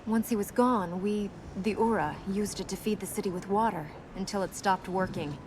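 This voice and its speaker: An actress playing a serious, guarded young princess in a video game, explaining earnestly and answering with dry wit. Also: female voice, medium pitch, moderate pace, noisy background, lightly acted.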